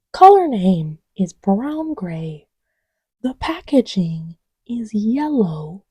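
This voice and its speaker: Giggly, playful girlfriend murmuring at an unhurried pace, acted by a woman. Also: on the high side, dark tone, breathy edge, no echo, heavily acted. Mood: baffled, amused. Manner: giggly, rambling, playfully indignant, often dropping to murmurs. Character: giggly, playful girlfriend testing markers on boyfriend's lap. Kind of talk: intimate improvised-sounding ASMR roleplay.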